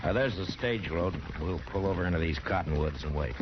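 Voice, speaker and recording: An actor playing a steady, protective Western lawman with a measured, reassuring manner in a 1950s radio drama. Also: sits low, natural voice. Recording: noisy, dull vintage radio recording.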